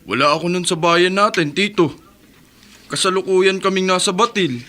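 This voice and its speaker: A polite young newcomer. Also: male voice, high-pitched, moderate pace, sharp tone. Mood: earnest. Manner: polite, respectful, uses po. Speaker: radio drama character. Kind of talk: radio drama acting.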